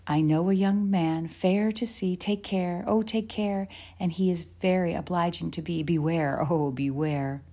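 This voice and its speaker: A woman's voice: on the low side, huge pitch swings, brisk, hardly any pauses, dark tone, slightly rough, exaggerated voice. A woman voicing a boisterous, carefree prairie girl who sings and whoops in a storybook. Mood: playful singing. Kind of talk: storybook character voice.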